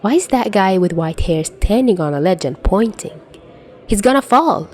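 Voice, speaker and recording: A woman's voice: mid-range voice, lively intonation, moderate pace, darkish tone, lightly acted. A woman voicing a panicky young commuter caught in a disaster, in an incredulous first-person monologue from a fan fiction story. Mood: bewildered, alarmed. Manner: incredulous, exclamatory inner monologue.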